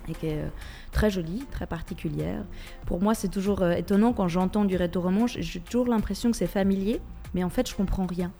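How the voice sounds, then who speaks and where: A woman's voice: medium pitch, a little fast, gravelly, dark tone, faint music behind. A woman from Switzerland with a Swiss French accent, a radio guest who talks with many hesitations and restarts. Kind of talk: radio interview.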